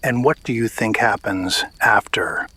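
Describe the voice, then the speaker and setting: Husky, imperious patriarch villain. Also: male voice, lowish voice, measured pace, bright, forceful tone, exaggerated voice. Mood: dismissive, calm. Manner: cool, dismissive. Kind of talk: audio drama acting.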